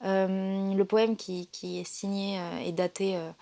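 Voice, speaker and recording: A woman's voice: mid-range voice, flat delivery, measured pace, vocal fry, warm tone. Woman, casual vlog talk, lots of fillers and restarts, emotional, playful. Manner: hesitant, many fillers and restarts; playful and emotional, laughs often. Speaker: literature video presenter.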